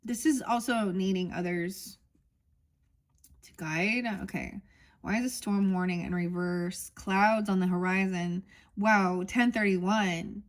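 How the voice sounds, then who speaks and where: A woman with a medium-pitched voice leads an oracle card reading. She muses aloud in a loose, meandering way, drifting between her own thoughts and the text she reads off the cards. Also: fairly even intonation, slow pace. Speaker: tarot reader.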